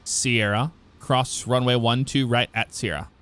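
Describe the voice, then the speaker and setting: A man's voice: medium pitch, fairly even intonation, measured pace, a bit bright, no room sound. A man working as a ground controller, giving clipped, procedural air traffic control instructions. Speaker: air traffic ground controller in an online flight simulation network.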